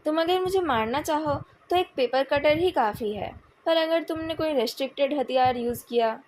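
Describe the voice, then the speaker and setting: An Indian-accented woman voicing a young male company president in a novel, calm, measured and coolly taunting. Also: high-pitched, close to an everyday voice. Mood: calm, cutting.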